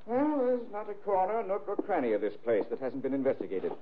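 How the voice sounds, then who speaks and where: An actor playing a pompous, fussy gentleman crook in a 1950s radio mystery, with ornate, imperious and hesitant phrasing. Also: high voice, huge pitch swings, a little fast, narrow, tinny audio. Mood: fussy, calculating. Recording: noisy, thin vintage radio recording.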